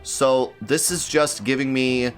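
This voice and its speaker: A man hosting a gaming channel, sounding a bit nasal and congested from a cold, talking casually and haltingly with lots of fillers as he airs his gripes. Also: medium pitch, faint music behind. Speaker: let's play host. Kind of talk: casual gaming commentary to viewers.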